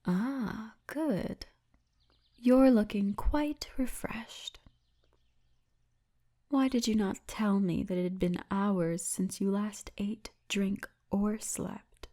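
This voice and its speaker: Woman playing a slow, breathy, seductive orc hostess. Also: mid-range voice, mellow. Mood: caring. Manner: unhurried, teasing, breathy half-whisper. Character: seductive, poised orc woman, fantasy pleasure-house guide. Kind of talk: ASMR roleplay.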